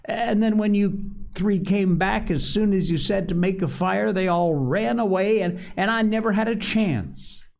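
A man's voice: high voice, a little slow, gravelly, a little mellow, pitched above the performer's own voice. A man voicing an anxious, whiny chubby schoolboy with glasses, who pleads, stammers and gasps for breath. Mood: indignant.

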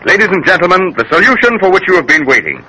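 Rapid, piercing male 1930s radio announcer. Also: high-pitched, very animated, pushed and bright, soft background music. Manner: formal, dramatic. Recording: noisy 1930s radio recording.

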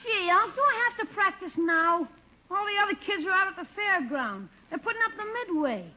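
A woman's voice: extremely high pitch, lively intonation, brisk. A performer voicing a whiny, wisecracking schoolboy nephew in an old radio comedy, a woman's voice. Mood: pleading. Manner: whiny, sardonic. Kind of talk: 1950 radio comedy acting. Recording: dull old radio broadcast.

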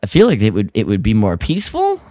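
A man co-hosting a casual podcast discussion, talking in a halting way as he thinks aloud. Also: fairly low pitch, wildly swooping pitch, dark tone, no echo, studio quality. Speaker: podcast co-host.

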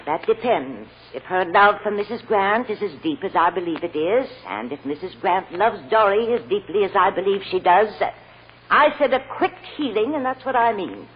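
An American actress playing a brisk, plain-spoken child psychologist in an old radio drama, firm and folksy. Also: female voice, medium pitch, expressive, lightly acted. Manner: no-nonsense, folksy, decisive. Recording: dull old radio recording with hiss.

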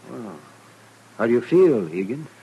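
Dry western doc, frank, very animated intonation, hissy old-time radio recording. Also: male voice, mid-range voice, measured pace, lo-fi sound. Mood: dry, reassuring. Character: dry, frank frontier town doctor.

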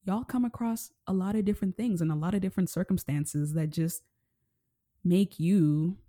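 A woman from Kenya hosting a casual interview, gentle and rambling, full of "like" and "you know". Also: fairly low pitch, moderate pace. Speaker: podcast host.

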